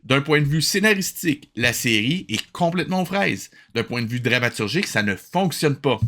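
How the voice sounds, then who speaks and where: A man's voice: mid-range voice, lively intonation, normal speed, bright, forceful tone. A man with a Québécois accent doing sarcastic, exasperated comedic commentary as a review host.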